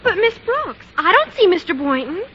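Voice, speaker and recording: A woman's voice: very high-pitched, very animated, normal speed, sharp tone, heavily acted. An actress playing a perky, naive teenage schoolgirl in an old radio comedy, gushing and earnest. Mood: puzzled. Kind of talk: 1940s-50s radio comedy acting. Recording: muffled, hissy old radio recording.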